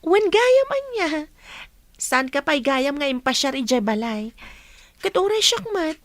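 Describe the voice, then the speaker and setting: A woman's voice: high voice, big pitch swings, a little slow, dry recording. A woman playing a friendly, encouraging young female student in an Ilocano radio drama. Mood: friendly, eager.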